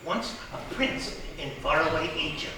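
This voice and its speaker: A man playing an effusive host of a monsters' dinner party, theatrical and halting, in a live comic radio play. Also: on the high side, lively intonation, crowd noise. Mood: grandly welcoming. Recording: echoey theatre, far from mic.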